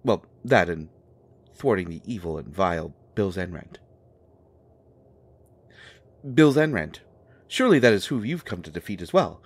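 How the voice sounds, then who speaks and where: Pompous, low-voiced eccentric man, played by an actor. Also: big pitch swings, breathy edge, full character voice. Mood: pompous bluster. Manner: grandiose, halting, theatrical. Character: pompous eccentric oddball posing as human, cryptic prophet. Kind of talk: urban fantasy audio drama acting.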